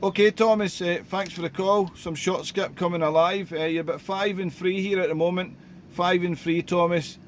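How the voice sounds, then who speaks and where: A man's voice: high-pitched, fairly even intonation, normal speed, background noise. Chatty man on a radio mic. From Scotland. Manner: friendly, chatty, frequent filler "uh". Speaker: radio amateur. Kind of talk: casual radio chat. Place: home station by the sea.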